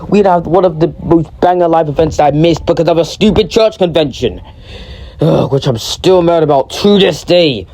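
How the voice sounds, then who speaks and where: A young man who is ill and sounds deeper than usual, talking casually and excitedly about a video game, often correcting himself. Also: moderate pace. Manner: rambling, excitable, self-correcting. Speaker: gaming video creator. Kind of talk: casual gaming commentary.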